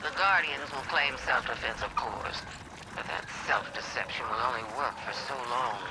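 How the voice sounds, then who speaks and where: Scheming witch goddess, theatrical game villain, swooping pitch, amused, noisy echoing recording. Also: animated, moderate pace. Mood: sly, amused. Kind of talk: video game villain monologue.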